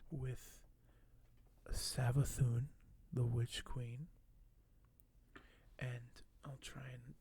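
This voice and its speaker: A man doing soft-spoken ASMR in a breathy voice that often drops to a whisper, speaking in a slow, hushed, hesitant and apologetic way. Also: medium pitch. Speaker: ASMR video host.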